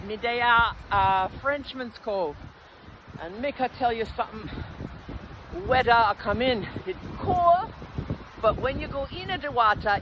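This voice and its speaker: A man speaking, greeting viewers in a casual vlog from the beach. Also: sits high. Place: beach outdoors. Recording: outdoor wind and ambient noise.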